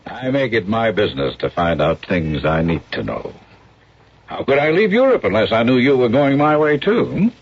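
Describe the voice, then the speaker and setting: An actor playing a brash, slangy, persuasive American showbiz agent in a radio drama, with a cocky hard-sell manner. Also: male voice, on the low side, very animated, moderate pace, breathy edge, heavily acted. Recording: dull, faintly noisy radio broadcast recording.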